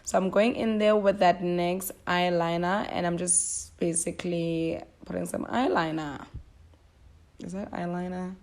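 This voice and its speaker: Young woman, rough voice from flu, low-ish pitch, casual tutorial chat. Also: fairly even intonation, a little slow, a little mellow. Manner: chatty, step-by-step, self-deprecating. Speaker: beauty vlogger. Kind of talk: casual makeup tutorial narration.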